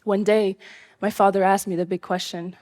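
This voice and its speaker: A young woman from Syria telling her own life story live, in an earnest, measured way. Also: medium pitch, little pitch movement, darkish tone.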